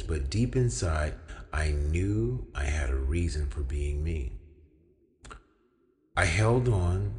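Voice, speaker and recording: A young man delivering an inspirational spoken-word monologue as a storyteller, with deliberate, measured phrasing and emphatic pauses. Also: sits low, darkish tone. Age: young adult. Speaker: featured storyteller. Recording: long hall-like reverb on the voice.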